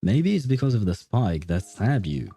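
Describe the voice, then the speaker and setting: A man's voice: on the low side, very animated, normal speed, vocal fry, dark tone, breathy edge, soft background music. A man livestreaming a game, chatting casually in a hesitant, rambling way with chuckles and casual swearing.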